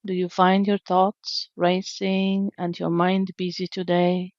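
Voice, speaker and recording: A woman leading a guided meditation in a gentle, soothing, unhurried way with long pauses, in a slightly dull recording. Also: fairly low pitch, monotone, no echo. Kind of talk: guided meditation, slow calm narration.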